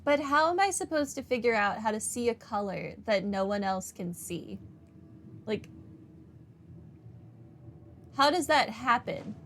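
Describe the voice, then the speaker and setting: Unhurried, wry woman gamer. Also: a little high, expressive, a bit bright, a little hiss or hum. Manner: wry, conversational. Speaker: gaming streamer. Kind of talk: casual playthrough commentary. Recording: game music faintly behind.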